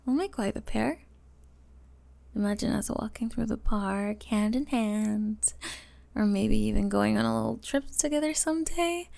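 A woman voicing a teenage goth bully with a secretly tender, flustered side, in an ASMR romance roleplay full of giggles and hushed confessions. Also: fairly high pitch, moderate pace. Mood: excited, affectionate. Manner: breathy giggles, hushed confessions, playful sarcasm.

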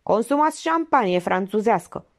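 A woman voicing a sharp, sarcastic older female amateur detective who needles a suspect with pointed, mocking remarks. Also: mid-range voice, animated, voice pushed up, lightly acted.

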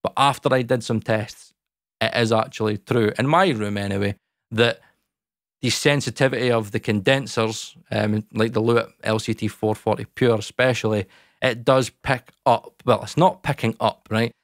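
Scottish male, 30s, deep boomy tone, relaxed talky explainer delivery, very dry close-miked home studio recording. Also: normal speed, dry recording. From Scotland. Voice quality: dark, boomy voice. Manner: chatty, lots of fillers, talks with his hands. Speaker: YouTube audio educator. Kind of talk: casual explainer talk to camera. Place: small home studio, indoors. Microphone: large dynamic mic close in front.

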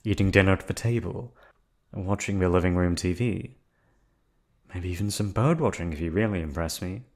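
A man voicing a calm, unhinged yandere receptionist who has kidnapped the listener, in an ASMR audio drama. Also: low-pitched, normal speed. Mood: menacing. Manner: soft, coolly reasoning, menacing. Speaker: voice actor.

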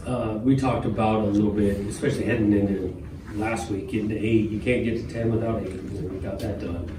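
Plainspoken coach at a far podium mic. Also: male voice, lowish voice, fairly even intonation. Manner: measured, plainspoken, with frequent fillers. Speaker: head football coach. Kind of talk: postgame press conference answers. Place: press conference room with a logo backdrop. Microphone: podium microphone, filmed from a far camera. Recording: roomy, distant, with room noise.

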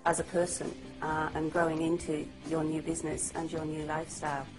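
A woman's voice: low-pitched, monotone, brisk, rough recording. A middle-aged woman from Australia, giving an earnest, upbeat testimonial. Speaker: customer testimonial. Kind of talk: testimonial to camera. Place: indoors, high-rise room with window view. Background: soft music.

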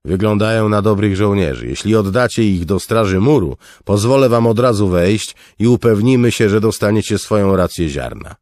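A man's voice: fairly low pitch, lively intonation, moderate pace, hardly any pauses, darkish tone, no room sound, studio quality, pitched above the performer's own voice, lightly acted. A man voicing a firm, weary city guard captain in an audiobook, insistent and exasperated.

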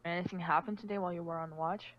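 Woman acting a lowish-voiced, level-headed survivor. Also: steady tone, highs cut off, natural voice. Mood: calm, concerned. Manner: casual, conversational, measured. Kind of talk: amateur roleplay acting.

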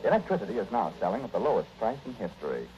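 A man's voice: lowish voice, big pitch swings, very fast, darkish tone, slight background noise. A man announcing a scripted 1940s radio program. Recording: muffled 1940s radio recording.

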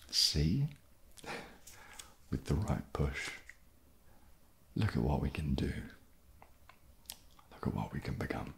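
A man in his thirties playing a smug, seductive inner alter ego in an ASMR short film, in a breathy whisper with a slow, intimate, slyly cocky manner. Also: very deep voice, sing-song, bright, forceful tone, a little hiss or hum, heavily acted. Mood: hushed, gloating. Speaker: ASMR performer.

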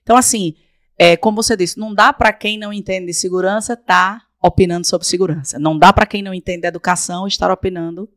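A woman in her forties from Paraíba, Brazil, a guest on a studio podcast, talking emphatically into a desk boom mic and repeating phrases for effect. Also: fairly even intonation, fast, fairly bright tone, no echo. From Brazil, raised in Paraíba. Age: 40s. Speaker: guest, council candidate. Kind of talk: podcast interview. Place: small podcast studio with blue-lit backdrop. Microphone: desk mic on boom arm.

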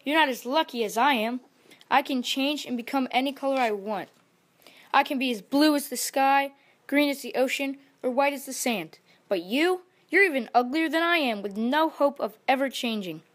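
Woman putting on a high-pitched, vain little male chameleon. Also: moderate pace, close to an everyday voice. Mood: defensive, boastful. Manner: boastful, defensive. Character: vain, insecure, grouchy small male chameleon. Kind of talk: children's storybook character voice.

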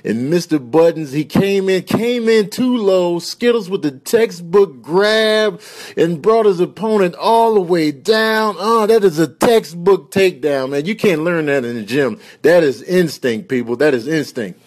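A man putting on an emphatic, excitable sports commentator who repeats himself as he calls animal fights in a comedy dub. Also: unhurried. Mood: excited. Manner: booming, emphatic, repetitive.

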